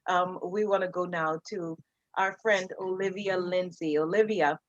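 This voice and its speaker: A woman's voice: on the low side, steady tone, a little slow. Upbeat woman moderator, dull lo-fi sound. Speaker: summit moderator. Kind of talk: hosting an online summit. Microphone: video call. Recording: dull lo-fi audio.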